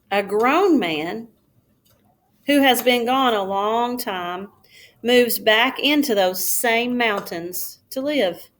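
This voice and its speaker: A woman reading a children's storybook aloud like a schoolteacher, in a careful, expressive storytelling manner. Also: on the high side.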